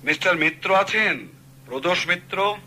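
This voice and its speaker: An actor playing a polite, eager middle-aged gentleman speaking on the telephone, brisk and courteous. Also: high-pitched, lively intonation, noisy background. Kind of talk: 1970s radio drama acting.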